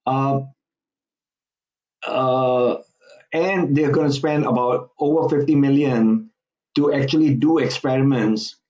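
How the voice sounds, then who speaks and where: A man's voice: mid-range voice, steady tone, a little mellow, muffled recording. A man from Singapore talking as a podcast guest, hesitant and full of "uh"s and restarts. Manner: halting, many fillers and restarts. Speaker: guest commentator. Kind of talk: podcast interview.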